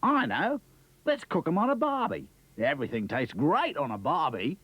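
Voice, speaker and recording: A man's voice: on the high side, huge pitch swings, moderate pace, a bit bright, husky, no echo, voice pushed up, full character voice. A man voicing a bouncy, cheery male kangaroo toy with an Australian accent, hearty and exclamatory. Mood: chirpy. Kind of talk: storybook character voice.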